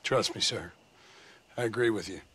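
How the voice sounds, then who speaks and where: An actor playing a dry, courteous, weathered cowboy gunslinger with an American accent and a sardonic manner, in a video game. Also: male voice, on the low side, normal speed, fairly bright tone, slight background noise, natural voice. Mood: polite. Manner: sardonic, polite. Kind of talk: video game cutscene acting.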